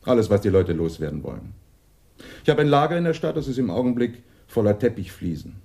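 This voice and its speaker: An actor playing a smooth, polite and easygoing adventurer and junk dealer in a radio drama. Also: male voice, mid-range voice, sing-song, fast, dark tone. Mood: breezy, glib. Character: smooth, obliging male adventurer and junk dealer nicknamed Cat.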